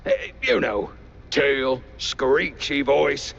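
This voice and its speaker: A man playing a dim, chatty cartoon game character with a gravelly voice and a rambling, stammering manner. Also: medium pitch, drawn-out words, fairly bright tone, dull audio, exaggerated voice. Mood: rambling, goofy. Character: dim, chatty male cartoon henchman type.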